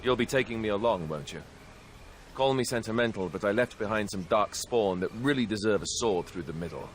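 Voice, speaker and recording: An actor playing a gruff male fighter companion in a video game, with dry, grim humor. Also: lowish voice, animated, fairly quick, slight background noise, natural voice. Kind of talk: video game cutscene acting.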